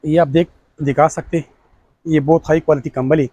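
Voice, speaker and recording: A man in his thirties, a shopkeeper, eagerly pitching products in a crowded electronics shop, recorded on a lapel mic. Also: fairly even intonation, a little fast, warm tone. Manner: eager sales pitch, repeating "ye dekh lo". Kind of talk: product demonstration.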